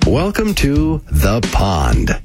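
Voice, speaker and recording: A playful male announcer voicing a show promo, with a gravelly edge and a very animated pitch. Music plays under him. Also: takes it slowly. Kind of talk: promo narration.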